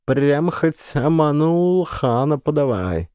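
A man's voice: a little high, very animated, takes it slowly, darkish tone, clean tone, dry recording, clean studio sound. A man voicing a cocksure young male communist student in an audiobook, confident, insistent and emphatic. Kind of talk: dramatised audiobook dialogue.

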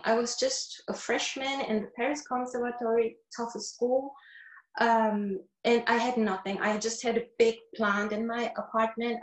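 A middle-aged woman from Korea, a flutist talking on a panel over a video call in an earnest way, searching for words between many fillers. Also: fairly high pitch. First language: not English. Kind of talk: casual panel talk. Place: wood-panelled room indoors. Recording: echoey room on a video call.

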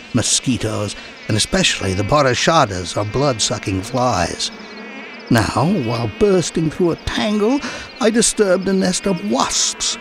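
Male narrator for memoir audiobook: animated pitch, gravelly edge, deliberate dramatic phrasing. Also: background noise. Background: music.

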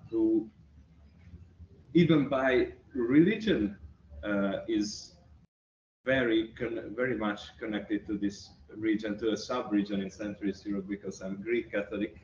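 A young Hungarian man with a Hungarian accent, a researcher answering interview questions thoughtfully, pausing often with fillers, recorded by a camera across an office room by a window. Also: on the low side, darkish tone. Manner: thoughtful, with many pauses and fillers. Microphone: far camera. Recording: roomy, distant, muffled, faint hiss.

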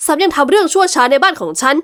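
A woman's voice: on the high side, very animated, fairly quick. A woman voicing a furious, jealous young man who speaks harshly through gritted teeth, in a storybook reading. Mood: accusing.

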